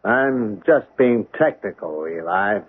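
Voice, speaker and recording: An actor playing a cold, unyielding colonel, smug and deliberate, in old-time radio drama style. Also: male voice, on the low side, animated, narrow, tinny audio, slight background noise. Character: cold, unyielding military colonel, quietly menacing. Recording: thin vintage radio broadcast.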